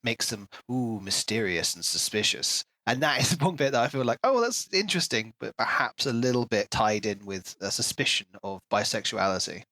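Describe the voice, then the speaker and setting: A man's voice: on the low side, moderate pace, hardly any pauses, dry recording. A man co-hosting a book podcast, talking casually and animatedly, often slipping into mock dialogue to make his point. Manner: animated, acts out imagined dialogue mockingly. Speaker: podcast co-host reviewing books.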